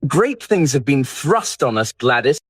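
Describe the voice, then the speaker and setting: A man's voice: mid-range voice, very animated, unhurried, husky, no echo, clean studio sound, pitched above the performer's own voice. A man voicing a witty, languid English aristocrat in an audiobook, teasing and aphoristic in a theatrical way. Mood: dry wit. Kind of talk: audiobook character dialogue.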